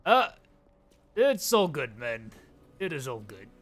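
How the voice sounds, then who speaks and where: A man's voice: mid-range voice, big pitch swings, takes it slowly, a bit bright, faint music behind. A man in his late twenties hosting a casual game livestream, chatting to viewers in slangy, informal talk. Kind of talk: casual gameplay chat with viewers.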